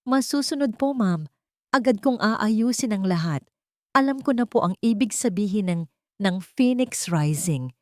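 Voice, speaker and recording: A woman's voice: medium pitch, animated, a little slow, dark tone, dry recording, studio quality, voice pushed up, natural voice. A woman voicing a loyal old male family steward in a drama story, formal and respectful. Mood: grave, dutiful.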